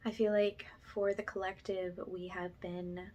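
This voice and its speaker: Soft, slow, even-toned woman whispering ASMR. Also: medium pitch, highs cut off. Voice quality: soft, breathy, often slipping into whisper. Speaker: ASMR energy healer. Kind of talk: ASMR guided energy healing.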